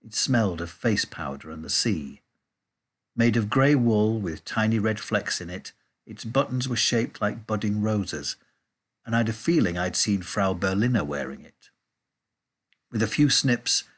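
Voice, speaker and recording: A man reading a children's storybook aloud in a measured, expressive way. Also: on the low side, slightly rough. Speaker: audiobook reader. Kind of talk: storybook narration.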